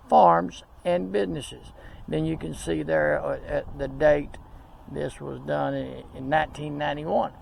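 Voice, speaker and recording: Gravelly Texan man outdoors by traffic. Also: a little high, rough recording. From Texas. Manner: folksy, easygoing, with frequent fillers. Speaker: video host. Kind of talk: casual walking tour narration. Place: outdoors at a rural roadside cemetery, windy. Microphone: camera on a handheld tripod. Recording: outdoor wind and road traffic noise.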